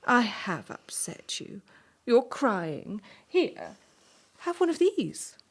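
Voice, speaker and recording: A woman playing a ruthless, entitled young assessor in a stage play, brisk, condescending and coolly domineering under a veneer of charm. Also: a little high, very animated, breathy edge, heavily acted. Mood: mocking reassurance.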